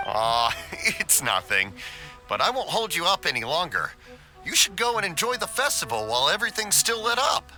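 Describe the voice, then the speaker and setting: Hearty, bright stall keeper. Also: male voice, high-pitched, sing-song, normal speed, soft background music, exaggerated voice, rough recording. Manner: hearty, easygoing and generous. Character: friendly middle-aged male festival stall keeper. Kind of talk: game cutscene voice acting.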